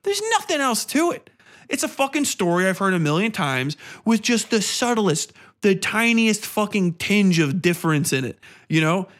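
A man's voice: high voice, very animated, normal speed, fairly bright tone. A man hosting a podcast, ranting casually in a sardonic, exasperated, heavily profane way. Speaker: podcast host. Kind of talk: casual opinionated rant.

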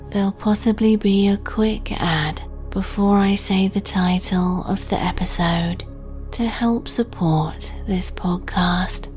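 A woman narrates a guided sleep meditation at a very slow pace. Her delivery is breathy, gentle and soothing, with a mid-range pitch. Music plays behind her. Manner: soft, breathy, soothing, deliberate.

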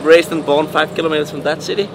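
A young man from Denmark, a DJ and music producer, answering interview questions in halting, filler-heavy English with a Danish accent. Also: fairly high pitch, steady tone, noisy background. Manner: halting non-native English, frequent fillers.

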